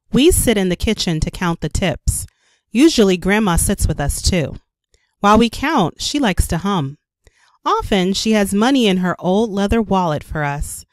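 A woman reading a children's story aloud as a storyteller, with careful, expressive narration for young children. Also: on the low side, no echo. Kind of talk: scripted read-aloud narration.

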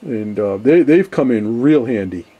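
An older man with a relaxed, mellow voice at a middle pitch. He talks casually as a hobbyist showing off his workshop, easygoing and rambling, often hesitating as he goes. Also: measured pace, highs cut off. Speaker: ham radio hobbyist, video host. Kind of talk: casual workshop show-and-tell. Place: cluttered indoor basement workshop.

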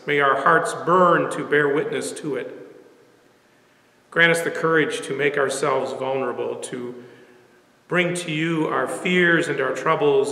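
A man in his sixties speaking as a pastor leading worship, formal and measured, in a large reverberant sanctuary. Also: fairly high pitch, pushed and bright. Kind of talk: church prayer and sermon. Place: large empty church sanctuary, indoors. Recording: echoey church sanctuary, long reverb.

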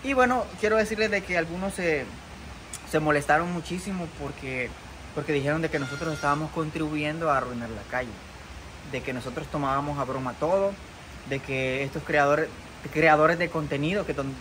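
A man's voice: fairly high pitch, a little fast. A young man, a content creator, talking to the camera outdoors beside a muddy rural road, earnest and defensive, with many fillers and restarts. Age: young adult. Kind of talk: vlog to camera. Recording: outdoor noise behind. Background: outdoor ambience.

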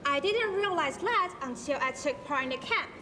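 A woman's voice: high-pitched, expressive, normal speed, sharp tone, slight background noise. A teenage girl with a Taiwanese accent playing an eager, questioning teenage son in a school stage play. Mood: earnest. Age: teens. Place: large school auditorium stage. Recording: echoey hall with music behind.

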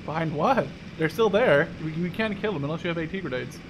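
Young man, slightly high voice, casual gameplay commentary, twenties, faint noise behind. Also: a little fast. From Canada or Netherlands. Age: 20s. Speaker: gaming YouTuber and player. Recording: noisy, game sounds behind voice. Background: video game vehicle and ambient noise.

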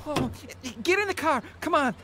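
A woman's voice: high voice, lively intonation, moderate pace, noisy background. A woman playing a frantic rescuer in a radio drama, exclaiming urgently. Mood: alarmed, urgent.

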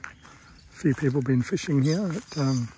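A man's voice: mid-range voice, a little slow, noisy background. Easygoing Australian man vlogging outdoors. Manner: relaxed, chatty, with many fillers. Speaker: travel vlogger. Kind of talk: casual vlog to camera. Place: outdoors in a pine forest campsite. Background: birdsong and bush ambience.

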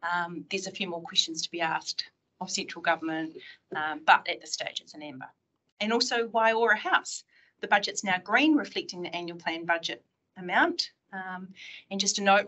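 A woman with a New Zealand accent presenting project updates at a council meeting, with a steady manner and a few fillers. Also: lowish voice, poor audio. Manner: opens with a Māori greeting, steady presenting with fillers. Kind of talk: formal briefing at a council meeting. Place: large council meeting room.

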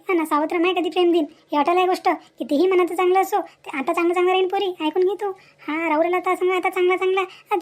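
A woman voicing a scolding, motherly village neighbour in a cartoon moral story, with a rural Varhadi Marathi accent and an emphatic, admonishing manner full of rhetorical questions. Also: very high voice. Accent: rural Maharashtra, Varhadi Marathi.

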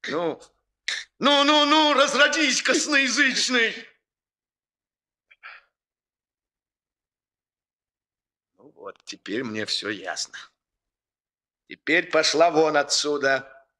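A man's voice: sits high, lively intonation, slow, bright, forceful tone, full character voice. A man acting in a 1960s radio play as a stern, moralizing boss, hectoring and emphatic as he scolds the young. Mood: stern accusing.

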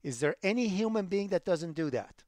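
A middle-aged man, a college programming instructor lecturing online over a headset, emphatic and rising loud when he makes a point. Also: high-pitched, lively intonation, a little fast, dry recording. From Iran. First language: Persian.

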